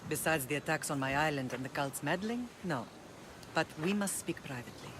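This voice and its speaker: Man doing a grim island leader in a game cutscene, kinda high voice, steady, noise in the back. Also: brisk, close to an everyday voice. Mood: resolute. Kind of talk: video game cutscene dialogue.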